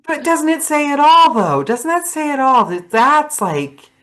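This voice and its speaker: A woman hosting a casual podcast chat, rambling and animated, laughing often. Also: high voice, unhurried. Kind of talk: casual video-call chat.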